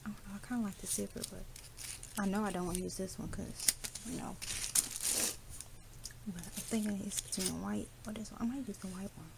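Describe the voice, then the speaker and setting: A young woman talks casually and softly, as if to a friend, in a parked car. Her voice sits in a middle range, quiet by nature. A steady hiss of background noise runs under the phone recording. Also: fairly quick, creaky, long pauses. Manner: soft-spoken, low and quiet. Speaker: vlogger. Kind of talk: casual vlog chat.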